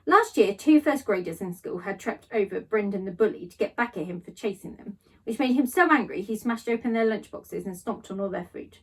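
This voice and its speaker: A woman teacher reading aloud to pupils in an expressive, storytelling manner. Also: medium pitch, fairly quick, husky, recorded from a distance, rough recording. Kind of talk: expressive children's story narration.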